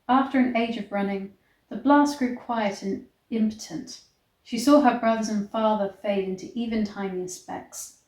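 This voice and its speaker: Slow-reading woman in an echoey room. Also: medium pitch, a little mellow. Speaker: host reading a story aloud. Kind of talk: dramatic storybook reading with disgusted asides. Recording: echoey, roomy sound.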